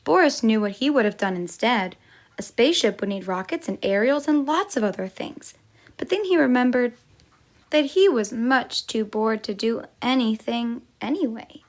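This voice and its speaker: A woman's voice: a little high, lively intonation, moderate pace. A woman storyteller reading a picture book aloud for children, as a teacher would.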